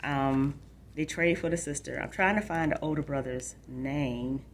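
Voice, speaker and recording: A woman's voice: sits low, unhurried, a little hiss or hum. A woman hosting a book program for children, explaining things directly to young listeners and reading aloud. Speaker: library book show host. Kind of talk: informal book talk and read-aloud for children.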